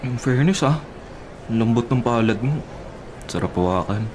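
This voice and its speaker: A Filipino man acting a sweet, earnest young boyfriend in a radio drama, tender, pleading and expressive. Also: mid-range voice, moderate pace, a little hiss or hum, close to an everyday voice. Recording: music playing behind the voice.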